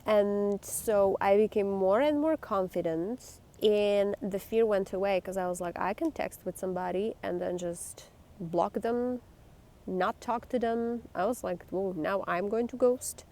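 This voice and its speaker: Blunt woman with a gravelly voice. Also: medium pitch, unhurried, darkish tone. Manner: blunt, emphatic and swearing. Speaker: vlogger. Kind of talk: candid talk to camera.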